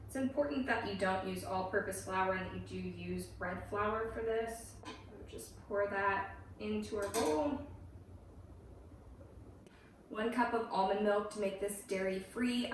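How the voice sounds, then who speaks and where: Slightly hoarse woman with a cold. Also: mid-range voice, a bit bright, a little hiss or hum. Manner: chatty, explains steps plainly. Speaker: cooking channel host. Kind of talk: home cooking tutorial. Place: home kitchen. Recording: voice a little far from the mic.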